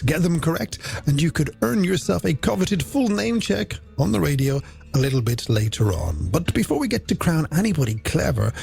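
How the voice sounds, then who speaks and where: A man's voice: a little high, creaky, darkish tone. A man presenting late-night music radio, with playful, theatrical and wordy banter. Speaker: radio host. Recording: music bed behind the voice.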